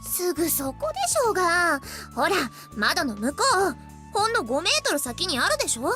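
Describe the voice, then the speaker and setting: High-pitched bossy anime schoolgirl. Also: sing-song, very fast, sharp tone, soft background music, exaggerated voice. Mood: nagging. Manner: bossy, nagging. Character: exasperated scolding schoolgirl waking her sleepy friend. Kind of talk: Japanese anime visual novel acting.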